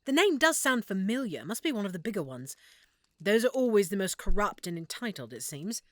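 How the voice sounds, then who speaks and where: Woman acting an animated, affectionate half-elf shopkeeper. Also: mid-range voice, a little fast, no room sound, natural voice. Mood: intrigued, attentive. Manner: expressive, warm, reacting with feeling. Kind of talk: roleplay audio drama, speaking to listener.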